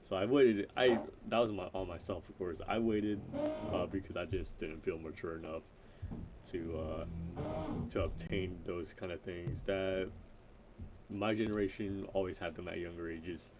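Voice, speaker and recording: A young man with a deep voice chatting casually and unscripted, rambling and often hesitantly cutting himself off. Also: steady tone, normal speed, over music, poor audio. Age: young adult. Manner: rambling, hesitant, self-interrupting. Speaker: gaming vlogger introducing himself.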